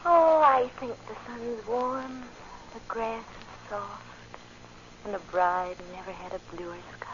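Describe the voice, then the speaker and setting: An actress playing an adoring young bride in a 1940s radio drama, lilting and teasing. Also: female voice, a little high, slow pace. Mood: happy, teasing. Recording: muffled, noisy old radio recording.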